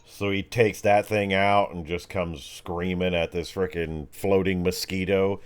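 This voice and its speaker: Man, deep voice, game master, casual tabletop narration, slangy, descriptive. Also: steady tone, unhurried, clean tone.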